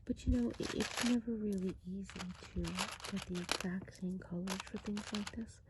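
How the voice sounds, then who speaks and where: A woman's voice: mid-range voice, steady tone, moderate pace. Whispering ASMR woman over noticeable background noise. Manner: hushed, meandering, gently explanatory. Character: friendly Christmas witch of the woods. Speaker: ASMR performer. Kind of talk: soft whispered ASMR role play.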